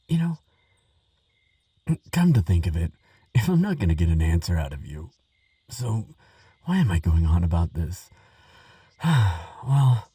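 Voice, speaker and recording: Man acting a dark, husky, nervous male scholar. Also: lowish voice, no room sound, far from the mic, exaggerated voice. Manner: hesitant, rambling, gentle, with stammers and sighs. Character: nervous, kindly, chatty male scholar researcher. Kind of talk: audio roleplay monologue addressed to the listener.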